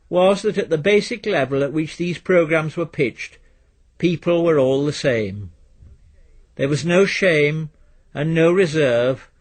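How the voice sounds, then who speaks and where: A man reading literary fiction as a narrator, in a measured, precise and dry storytelling manner. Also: fairly high pitch, breathy edge. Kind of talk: scripted literary audiobook narration.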